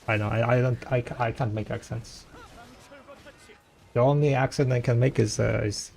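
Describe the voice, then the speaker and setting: A man whose first language is Russian, with a light Russian accent, chatting with viewers as a game streamer and trailing off in halting restarts. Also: mid-range voice, fairly even intonation, gravelly, darkish tone. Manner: rambling, halting, many restarts. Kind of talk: casual chat with viewers.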